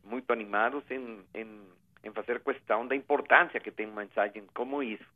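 A man's voice: mid-range voice, fairly even intonation, fairly quick. A man giving a phone interview, speaking earnestly with many hesitations and restarts. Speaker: interviewee, refugee agency representative. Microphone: telephone. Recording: tinny phone line.

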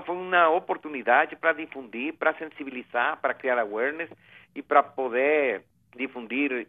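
A man speaks over a tinny telephone line in a radio interview. His voice sits at an ordinary pitch, and he talks earnestly, with frequent "eh" fillers and restarts. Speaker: interviewee, refugee agency representative. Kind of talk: phone interview.

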